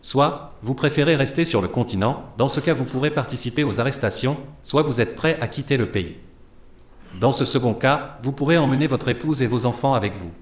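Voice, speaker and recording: A man's voice: mid-range voice, a little fast, close to an everyday voice. Resolute male leader in echoey hall, acted. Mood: assured, businesslike. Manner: formal, assured. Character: resolute leader recruiting men for vengeance. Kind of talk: formal audio drama dialogue. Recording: very echoey room.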